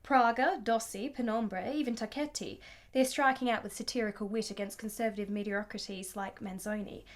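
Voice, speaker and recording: A woman's voice: a little high, steady tone, fairly quick, lightly acted. A woman playing a gracious, intelligent young wife in a period radio play, polite and articulate. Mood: articulate, keen. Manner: polite, articulate, self-possessed. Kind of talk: radio drama acting.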